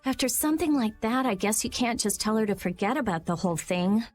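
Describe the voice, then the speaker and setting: Blunt, high-voiced teenage girl. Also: lively intonation, fairly quick, a little mellow. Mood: critical. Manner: dry, sarcastic, critical. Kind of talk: animated RPG video game dub. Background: faint background music.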